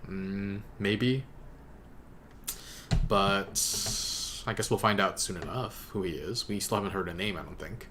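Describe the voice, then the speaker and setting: A man hosting a reaction video, giving casual commentary in a halting way as he thinks aloud. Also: low-pitched, noisy background.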